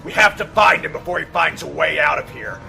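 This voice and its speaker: A man's voice: on the high side, brisk, vocal fry, pushed and bright, shouting, faint music behind, full character voice. A man playing an urgent, exasperated police officer in a radio play, speaking tensely. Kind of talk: amateur radio play acting.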